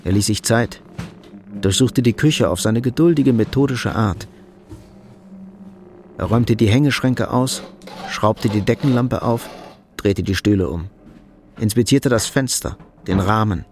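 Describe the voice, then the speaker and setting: Low-voiced man narrating a radio drama. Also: a little slow, long pauses, a little mellow, slight background noise.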